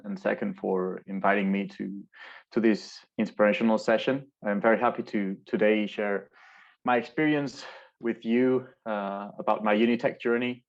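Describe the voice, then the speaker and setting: A young man from Spain with a Spanish accent, giving an earnest online talk and often repeating words as he searches for them. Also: lowish voice. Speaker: alumni speaker. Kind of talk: virtual presentation. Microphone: video call. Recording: dull lo-fi video call audio.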